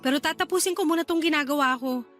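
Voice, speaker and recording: A young aunt in a radio drama, affectionate and busy. Her voice sits fairly high and her manner is easygoing and expressive. Faint music runs behind her. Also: quick pace. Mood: light, casual. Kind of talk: Tagalog radio drama acting.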